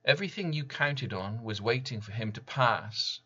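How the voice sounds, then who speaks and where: A man giving a dramatic reading of his own poem in solemn, deliberate short phrases, with slightly muffled sound. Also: mid-range voice, animated, bright, forceful tone. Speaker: poet reading own work. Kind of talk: dramatic poetry recital.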